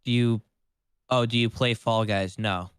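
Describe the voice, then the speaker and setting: Smooth low-voiced man chatting casually. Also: fairly even intonation, a little slow, no echo, clean studio sound. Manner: rambling, heavy use of "like"; casually foul-mouthed. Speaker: co-host of a livestream. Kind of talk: casual livestream chat.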